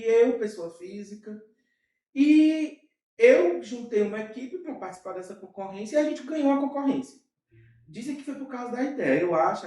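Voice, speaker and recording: A man's voice: high voice, far from the mic. Brazilian man telling stories in an echoey room. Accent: Brazilian Portuguese. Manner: animated, with frequent fillers and quick asides. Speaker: interview guest, entrepreneur. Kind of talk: casual storytelling in an interview.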